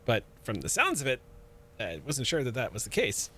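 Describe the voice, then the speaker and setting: Man, mid-range voice, halting in-character player; nervous pilot, lots of restarts. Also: mid-range voice, huge pitch swings, bright, forceful tone, slightly rough. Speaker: tabletop player voicing a pilot. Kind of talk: in-character roleplay, casual.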